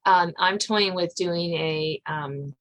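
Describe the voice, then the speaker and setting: A woman's voice: on the low side, slow pace, fairly bright tone, poor audio. A woman hosting a podcast in a casual interview style, informal and often rephrasing her thoughts mid-sentence. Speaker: podcast host.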